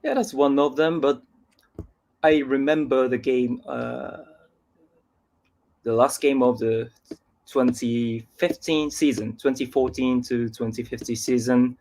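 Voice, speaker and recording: A man's voice: medium pitch, steady tone, measured pace, a little mellow. Polite man speaking careful English. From Japan. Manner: hesitant, searching for words, polite. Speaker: guest fan. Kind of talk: casual interview chat.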